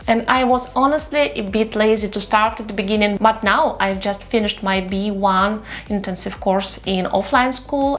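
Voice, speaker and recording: A woman in her thirties, for whom English is not her first language, talking candidly in a casual personal vlog and poking fun at herself. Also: mid-range voice. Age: 30s. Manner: candid and self-deprecating. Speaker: vlogger.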